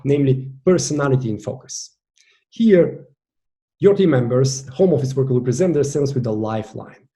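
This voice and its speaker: A Bulgarian-accented man talks through a coaching masterclass with a warm, mellow tone. He speaks English as a second language, and his delivery is earnest and deliberate. He presses on key words as he lays out each point. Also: medium pitch. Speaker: virtual team coach. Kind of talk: online masterclass presentation.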